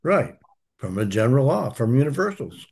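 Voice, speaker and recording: A man's voice: medium pitch, big pitch swings, moderate pace, darkish tone, husky. A man teaching a spiritual study group, earnest and emphatic, repeating key phrases as he answers questions.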